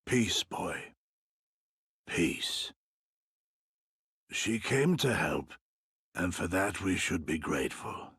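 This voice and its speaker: An actor playing a weary, sorrowful old village elder, grave and defeated, with a gravelly, husky voice in a video game cutscene. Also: male voice, low voice, big pitch swings, fairly bright tone, dry recording, studio quality, exaggerated voice. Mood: calming, grave. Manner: slow, grave, measured.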